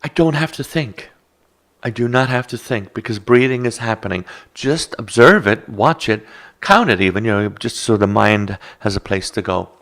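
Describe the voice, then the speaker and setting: An Irish man with a low, gravelly voice talks through mindfulness in a calm, instructive way. He sounds like a meditation teacher guiding a relaxed conversation. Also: moderate pace. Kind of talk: reflective conversation.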